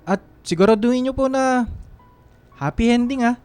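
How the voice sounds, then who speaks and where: An actor playing a polite, eager waiter and fan in a Tagalog radio drama. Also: male voice, very high voice, moderate pace, a little mellow, clear, resonant tone, faint music behind. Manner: courteous, eager. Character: polite, eager male server and devoted reader.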